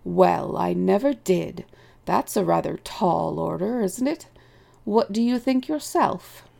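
A woman voicing an elderly male chief rabbit in an audiobook, politely detached and condescending, with a vague, genteel, unhurried manner. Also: fairly low pitch, vocal fry, warm tone, full character voice. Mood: patronizing. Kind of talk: audiobook character voice.

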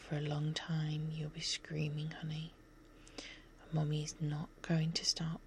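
A woman speaking in a steady whisper for an ASMR roleplay, soothing and coaxing while staying firmly in command. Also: low voice, gravelly, slight background noise.

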